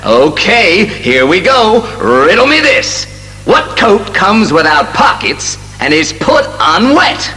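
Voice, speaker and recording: Showy quiz emcee, hammy and patronizing, high voice, animated, music behind. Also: male voice, exaggerated voice, rough recording. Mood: showy, upbeat. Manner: hammy, drawn-out announcer shouts. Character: showy, patronizing male game show host. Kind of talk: audio drama acting as quiz show emcee.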